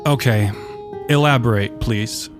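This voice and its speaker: Male narrator as sleepy flustered man, audio story, hesitant, halting, medium pitch, light guitar music behind. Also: close to an everyday voice. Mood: flustered, weary. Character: drowsy, flustered, reluctant man, weary but caring.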